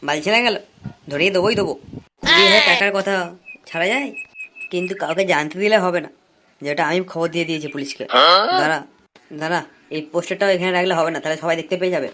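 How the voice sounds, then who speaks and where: A man's voice: high-pitched, animated, fast talker, long pauses, bright, forceful tone, slight background noise. A man voicing a broke, hot-headed young villager, whiny and scheming, in a comic cartoon. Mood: scheming, excited. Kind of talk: comic cartoon dialogue and muttered monologue.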